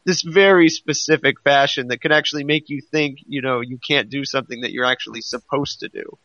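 A man's voice: mid-range voice, fairly even intonation, fairly quick, sharp tone, no echo, dull audio. A man chatting casually about video games as a podcast co-host, explaining things in a rambling way and often interrupting himself.

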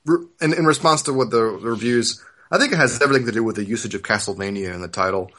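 A man's voice: medium pitch, fast talker, pushed and bright. A man in his thirties hosting a casual podcast, explaining things in an informal way. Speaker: podcast host.

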